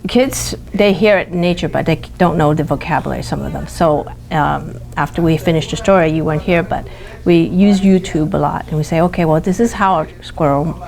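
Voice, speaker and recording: A woman speaking as a teacher in an interview, explaining her classroom practice haltingly as she feels for words. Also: deep voice, creaky, darkish tone.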